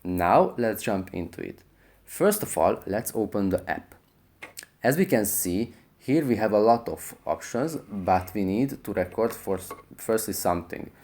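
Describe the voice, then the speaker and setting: A man's voice: on the low side, expressive, measured pace, a little mellow. A man presenting a how-to tutorial as its host, in scripted narration.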